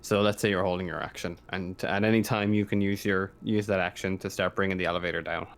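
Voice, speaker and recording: A man's voice: sits low, level tone, fast. A man running a tabletop game as game master, improvising his narration and often stammering or revising his phrases as he goes.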